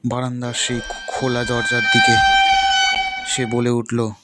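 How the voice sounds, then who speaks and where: A man narrating a ghost story in steady storytelling manner. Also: medium pitch, measured pace, very noisy.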